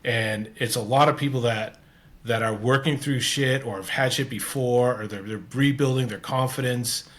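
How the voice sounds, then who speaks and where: A man with a Canadian accent speaks at a middle pitch. He hosts a casual interview chat, talking earnestly and restarting his sentences as his thoughts run on. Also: fairly even intonation, moderate pace, a bit bright. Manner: rambling, restarts often, earnest. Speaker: podcast host.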